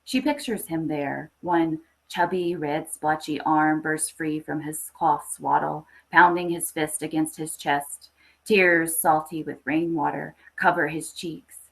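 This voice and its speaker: A woman reading a literary horror short story aloud with expressive, scripted narration. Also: low voice, slow pace, creaky, poor audio. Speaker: story reader.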